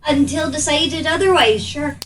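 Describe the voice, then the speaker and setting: A woman role-playing casually in a tabletop game, talking in a hesitant, halting way. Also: high-pitched, a bit bright. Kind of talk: casual in-character role-play. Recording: distant mic, faint noise.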